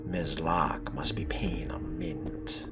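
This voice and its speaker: A man's voice: a bass voice, steady tone, slow pace. A man role-playing a video game character, giving dry, sardonic commentary in formal wording. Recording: loud music behind the voice.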